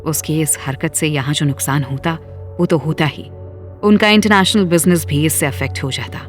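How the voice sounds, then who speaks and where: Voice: medium pitch, moderate pace. Story narrator over faint music.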